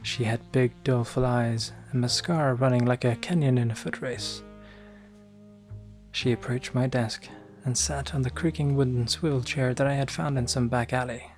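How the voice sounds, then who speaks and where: A man with a Northern English accent playing a boastful, bumbling noir private eye, narrating deadpan with hesitant asides in an ASMR roleplay. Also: medium pitch, normal speed, soft background music, close to an everyday voice. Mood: wry, self-satisfied.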